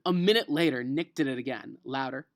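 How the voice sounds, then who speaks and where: A man with an American accent, an elementary school teacher reading a children's novel aloud and explaining it to a class in an expressive, emphatic way. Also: medium pitch, a little fast, soft background music.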